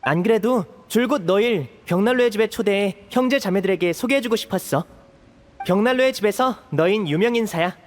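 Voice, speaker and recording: A man's voice: sits high, brisk, natural voice. A man playing a polite, friendly, boyish young magician in a video game, with a youthful, welcoming delivery. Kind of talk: Korean video game voice acting.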